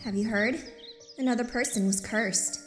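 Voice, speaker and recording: A woman's voice: on the high side, moderate pace, slightly rough, faint music behind. A woman voicing a gossipy, timid palace maid in a fairy-tale game. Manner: gossipy, deferential.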